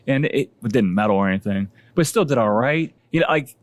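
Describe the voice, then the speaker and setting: A man's voice: medium pitch, expressive, fast, no echo. A man chatting casually as a guest homebrewer, rambling and self-deprecating, laughing at his own stories. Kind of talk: casual podcast chat.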